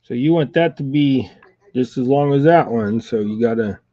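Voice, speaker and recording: A man's voice: mid-range voice, moderate pace, dry recording. Congested man chatting while tying jigs. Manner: relaxed, chatty, greets viewers by name. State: congested, coughing. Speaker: fishing channel host tying jigs. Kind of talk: casual live-stream chat while tying. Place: home room with a TV nearby. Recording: dull, slightly lo-fi dry sound.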